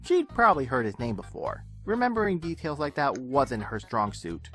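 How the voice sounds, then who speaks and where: A man narrates a story in an expressive way, with a mid-pitched voice that swings up and down. Music plays softly beneath the reading. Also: moderate pace. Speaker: narrator of a fan fiction audio reading. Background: soft game music.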